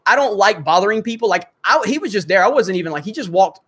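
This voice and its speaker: A man's voice: sits high, expressive, fast talker, a bit bright, slightly rough. A man hosting a casual livestream, rambling with many fillers as he reads viewer comments and answers them. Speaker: livestream host. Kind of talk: casual livestream chat reading super chats.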